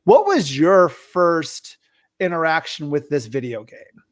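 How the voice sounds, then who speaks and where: A man's voice: fairly high pitch, very animated, takes it slowly, no echo, clean studio sound. A man hosting a podcast in a casual style, partly reading from notes. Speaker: podcast host.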